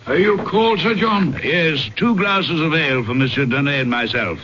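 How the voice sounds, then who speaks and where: An actor playing a big, hearty, polite English gentleman with a clipped, courteous manner in an old-time radio drama. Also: fairly high pitch, moderate pace, lo-fi sound, lightly acted. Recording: noisy band-limited old radio recording.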